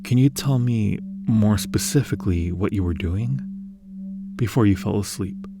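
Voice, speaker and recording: A man playing a friendly, curious being from another universe in an ASMR roleplay, speaking in a gentle, reassuring, musing way. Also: lowish voice, normal speed, close to an everyday voice. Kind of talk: ASMR roleplay addressed to the listener.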